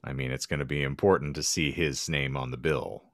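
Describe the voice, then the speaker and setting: A man's voice: very deep voice, steady tone, a little fast, fairly bright tone, no echo, studio quality. A man hosting a casual comedy podcast, joking in mock-grandiose, wordy phrases. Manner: jokey, mock-grandiose wordplay. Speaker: podcast host.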